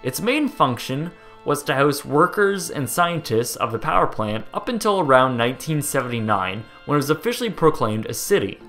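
A young American man hosting a casual documentary video, narrating over footage in a jokey, excitable way that stumbles over place names. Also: medium pitch, moderate pace, hardly any pauses, faint music behind. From United States.

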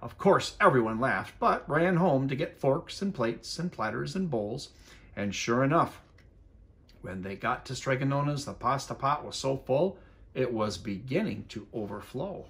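A middle-aged or older man reading a children's story aloud in an expressive, animated storytelling way. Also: mid-range voice, measured pace. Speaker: school superintendent reading a storybook. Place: indoors at home, seated area by a window.

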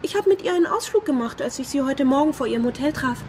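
A prim, unstable young woman in a radio play. Her voice is high and very lively in pitch. She is touchy and insistent, with faint street noise behind her. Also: fast talker. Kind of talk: German radio drama acting. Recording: background street traffic noise.

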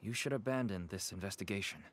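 A man voicing an uneasy father in a smuggling trade, earnest and measured, in scripted game dialogue. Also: on the low side, fairly bright tone, no echo, close to an everyday voice. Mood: grave warning.

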